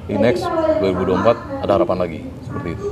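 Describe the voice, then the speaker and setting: A man hosting a talk show interview, brisk and quick to affirm with short interjections. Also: low-pitched, huge pitch swings, over a noisy crowd.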